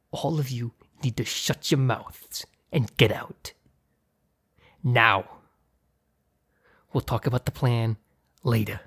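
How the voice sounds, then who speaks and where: Mob boss boyfriend male actor, ASMR roleplay: extremely breathy and husky, slow, halting, blunt, ruthless but flustered. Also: medium pitch, a bit bright, heavily acted. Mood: irritated, commanding. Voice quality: breathy, husky, often near whisper. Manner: halting, sighing, blunt, swearing.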